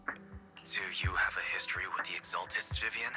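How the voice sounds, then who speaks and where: A man playing a concerned, gentle ally in a video game, speaking in a hushed, questioning way. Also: deep voice, steady tone, moderate pace, in a whisper, music in the background, natural voice. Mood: gentle, puzzled. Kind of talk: English video game voice acting.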